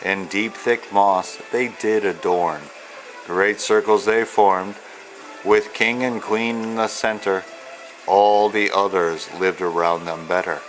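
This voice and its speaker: Male author-reader for a children's picture book, lower register, very unhurried, measured storytelling delivery with pauses. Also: fairly even intonation, rough recording. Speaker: author and illustrator reading own children's book. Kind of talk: read-aloud storytelling of rhyming children's book.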